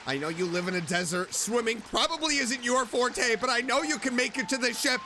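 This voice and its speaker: A man doing casual gaming commentary, chatting excitedly to himself and his game character. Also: very high-pitched, brisk, a little hiss or hum. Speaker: streamer, video game let's player. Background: game music.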